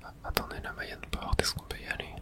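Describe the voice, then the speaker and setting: A hushed, fully whispered voice narrates a video game playthrough in ASMR style. The delivery is casual and unguarded, muttered as if to oneself, with no voiced tone. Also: fast. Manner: whispering throughout; casual swearing, muttering to self.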